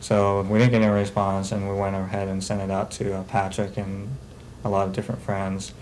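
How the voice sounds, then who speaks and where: A man gives a casual interview account in a low, monotone voice. He rambles step by step through what was said and done. Faint noise runs under the amateur video sound. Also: a little fast. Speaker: documentary interviewee.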